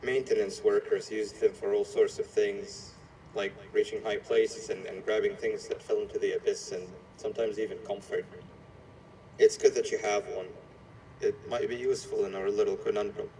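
A man voicing a scheming cartoon monster mascot in a video game, falsely friendly and quietly menacing, in an ingratiating conversational manner with hesitant pauses. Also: lowish voice, little pitch movement, recorded from a distance, lightly acted. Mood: friendly, helpful. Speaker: game character guiding the player. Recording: echoey playback filmed off a screen, noisy.

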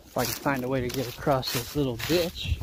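A man's voice: medium pitch, fairly even intonation, brisk. A man rambling in a folksy, casual way as he films an outdoor vlog, thinking aloud while walking. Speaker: outdoor vlogger. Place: outdoors in leafy winter woods. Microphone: handheld camera or phone, behind the lens. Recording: handheld outdoor recording with noise.

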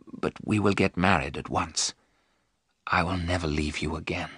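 A man voicing a frail, haunted husband in a gothic story, hushed, faltering and fearful. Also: low voice, fairly even intonation, moderate pace, breathy edge, dry recording, studio quality, voice pushed down. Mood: quietly resolute.

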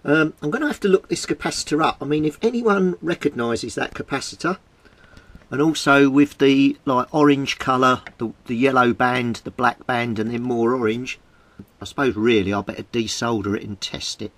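A man with a British accent talks in a middle-pitched voice. He explains things casually, like a hobbyist presenting to followers, and thinks aloud as he works at an indoor bench. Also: normal speed, a bit bright. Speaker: radio hobbyist presenting a repair update. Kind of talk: casual explanatory vlog while handling equipment. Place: indoors at a home workbench.

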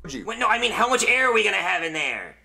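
A man's voice: high-pitched, big pitch swings, fast, gravelly, crowd noise, full character voice. A man playing a nerdy, anxious, exasperated young boy in a comedy skit, flustered as he explains things.

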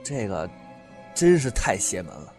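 A man's voice: fairly low pitch, sing-song, unhurried, a little mellow, soft background music. An actor playing a chatty young male student in an audio drama. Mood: spooked.

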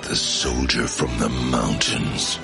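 An actor playing a menacing, hulking warlord villain in an animated film, with a gravelly voice. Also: male voice, very deep voice, slow, full character voice. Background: music.

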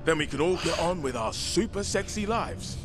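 An actor playing a weary, determined and wry apocalypse survivor in a dramatic video game cutscene. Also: male voice, fairly high pitch, animated, moderate pace, music in the background, natural voice. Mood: bitter, resolute.

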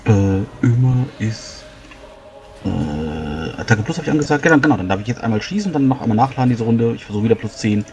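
A man's voice: mid-range voice, expressive, brisk, darkish tone. A man talking casually as a player in a tabletop role-playing game. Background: background music.

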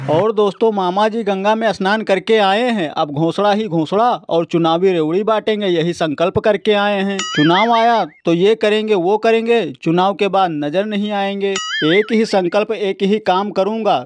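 A man's voice: high voice, moderate pace, few pauses, background noise. A young man hosting a mock news satire, delivering sarcastic, declamatory monologue with rhymed jibes. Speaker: host of a political satire show.

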